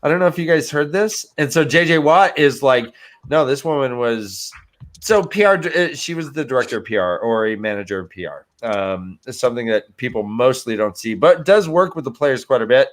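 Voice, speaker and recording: A man's voice: mid-range voice, normal speed. A man talking casually on a sports panel show, informal and self-deprecating. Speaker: fantasy football show panelist.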